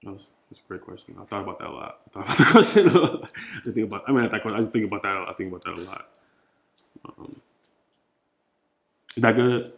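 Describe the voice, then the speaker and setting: Thoughtful man with a lower-pitched voice. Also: expressive, creaky. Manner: thoughtful, halting, often repeating phrases for emphasis. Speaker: poet and speaker answering questions after a reading. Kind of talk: informal question-and-answer talk.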